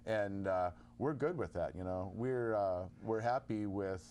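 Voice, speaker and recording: A man co-hosting a call-in show, explaining in a measured way with many uhs. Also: on the low side, darkish tone, a little hiss or hum. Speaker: co-host. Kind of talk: casual call-in chat.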